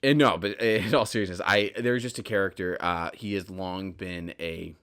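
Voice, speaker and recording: A man's voice: low voice, steady tone, normal speed, a bit bright, clear, resonant tone. A man hosting a solo podcast in a casual, candid, rambling monologue, often interrupting and correcting himself. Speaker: podcast host, audio drama writer.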